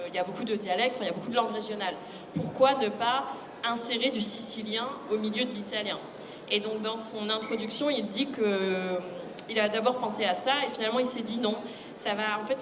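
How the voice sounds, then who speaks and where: Woman, guest speaker, thoughtful explanatory talk, fairly high voice, loud background noise. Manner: thoughtful, explanatory, illustrates with quoted asides. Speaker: literary translator, guest speaker. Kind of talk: conversational public discussion of literary translation. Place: public book fair talk. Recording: echoey hall, loud ambient noise.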